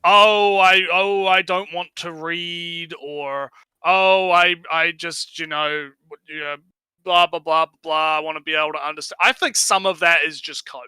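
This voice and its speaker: A man's voice: a little high, fairly even intonation, unhurried, sharp tone, smooth, no room sound, poor audio. A man hosting a reaction video, chatting casually with frequent repeats, filler words and swearing. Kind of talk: casual opinion chat.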